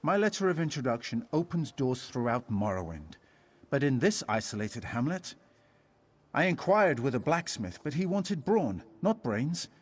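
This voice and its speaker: A man's voice: mid-range voice, big pitch swings, moderate pace, husky. A man playing a haughty, aggrieved scholar and artificer in a video game, wordy and indignant. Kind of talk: video game character dialogue.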